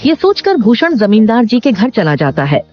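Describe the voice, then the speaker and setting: A woman's voice: on the high side, big pitch swings, normal speed. A woman narrating a scripted moral story for a cartoon. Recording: dull lo-fi audio with background music.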